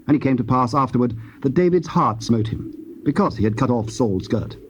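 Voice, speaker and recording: A man narrating dramatized scripture in a formal, solemn and measured storytelling style. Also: mid-range voice, expressive. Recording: muffled, hissy old cassette tape.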